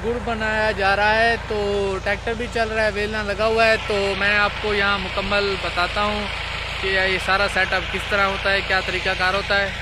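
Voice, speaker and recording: A young man with a Pakistani Punjabi accent talks in a high, chatty voice, calling his viewers "guys" as he walks them around. He records on a phone held at arm's length outdoors on a farm. A tractor engine drones clearly in the background. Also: level tone, normal speed, noisy background. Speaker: vlogger. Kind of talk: casual walkthrough vlog.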